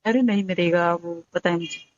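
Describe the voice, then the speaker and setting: A woman's voice: lowish voice, normal speed, no echo. A woman talking bluntly on a private phone call. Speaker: caller in leaked phone recording. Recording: dull lo-fi phone audio.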